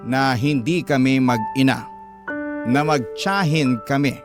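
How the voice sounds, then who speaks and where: A man narrating a story in the first person, in a measured, heartfelt radio style. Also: on the high side.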